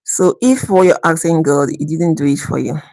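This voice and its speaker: A woman's voice: deep voice, moderate pace, a little mellow, dry recording. A woman hosting a phone livestream and chatting casually and earnestly, repeating herself between worship songs. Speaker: livestream host.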